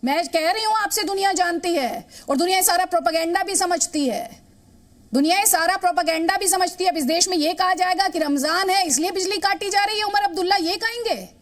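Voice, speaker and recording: A woman's voice: very high voice, fairly quick. An Indian woman anchoring a confrontational TV news interview, assertive and pressing, repeating her questions to the guest.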